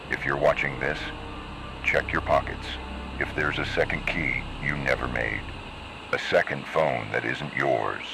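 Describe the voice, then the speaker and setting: A grave, ominous narrator of an eerie warning tape, performed by a man, speaks in an extremely low, gravel-edged voice. The phrasing is measured and deliberate, like scripted analog horror narration. Clear noise sits in the tape-style recording. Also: exaggerated voice. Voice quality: very deep, gravelly.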